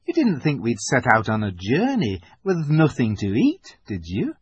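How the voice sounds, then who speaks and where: A man voicing a brisk, unflappable, motherly female beaver in a storybook, with cosy, homely, practical talk. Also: medium pitch, wildly swooping pitch, slightly rough, no room sound, lo-fi sound, exaggerated voice. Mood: brisk, calm.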